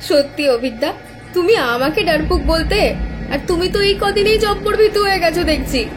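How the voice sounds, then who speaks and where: A woman's voice: extremely high pitch, animated, normal speed, low-quality recording. A woman playing a playful, teasing young woman in a horror audio drama, coaxing as she speaks. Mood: teasing, laughing. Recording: loud music behind.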